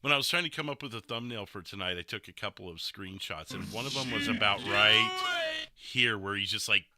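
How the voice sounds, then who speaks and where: A man hosting a casual stream podcast, commenting on replays in an animated, sweary way and throwing in imitated sound effects. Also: fairly low pitch, fairly quick, heavy background noise.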